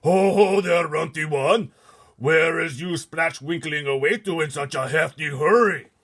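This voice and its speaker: A huge man-eating giant from a storybook, roars with a booming, pushed-up voice. He is a menacing bully who drags out his taunts at full shout. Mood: taunting, menacing. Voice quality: booming, roaring. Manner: shouted, drawn-out taunting delivery.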